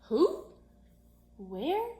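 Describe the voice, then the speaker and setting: A woman acts out a restless second grader in a children's storybook. The voice is high and exclaiming, full of eager outbursts, and rings in an echoey room. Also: wildly swooping pitch, extremely slow, warm tone, full character voice. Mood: baffled. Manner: exclaiming, blurting.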